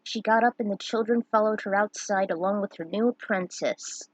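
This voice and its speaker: A woman reading scripted fan fiction narration aloud, in a slightly halting manner. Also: medium pitch, no room sound, rough recording.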